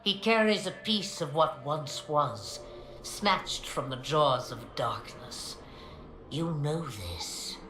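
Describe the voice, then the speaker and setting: A woman's voice: low-pitched, lively intonation, slow, a bit bright, full character voice. An older woman playing an ancient, commanding witch in a video game, theatrical, with grand and archaic phrasing. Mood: wry, knowing. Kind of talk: dramatic video game acting. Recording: roomy reverb with music behind.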